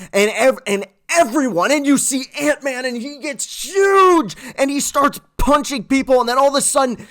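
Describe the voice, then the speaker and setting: A man reviewing a film in a casual, excited, rambling storytelling way, swearing freely as he goes. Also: sits high. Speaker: movie reviewer.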